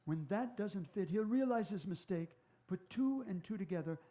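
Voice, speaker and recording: An actor playing a shrewd police inspector in a radio drama, with an authoritative, deliberate delivery. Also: male voice, sits high, animated, mellow. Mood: commanding, confident. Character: shrewd, commanding male police inspector on a stakeout. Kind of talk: stage radio drama acting.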